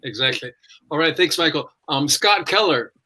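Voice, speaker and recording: Man, panel moderator, fairly high voice, friendly questions, video call. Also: expressive, moderate pace, pushed and bright. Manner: friendly, asks questions with repeated restarts. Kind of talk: hosting an online panel.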